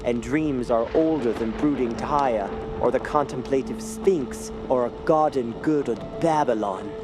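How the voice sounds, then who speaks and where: A man's voice: medium pitch, unhurried, natural voice. An actor playing an earnest, dream-haunted young male art student with florid speech in an audio horror drama. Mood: dreamy, earnest. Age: young adult. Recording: loud music bed behind.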